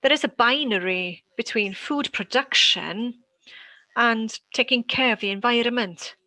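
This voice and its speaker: A woman from Wales giving a prepared conference talk as a panelist, measured and deliberate, pausing often. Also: on the high side, sharp tone, no echo. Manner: measured and deliberate, with frequent pauses.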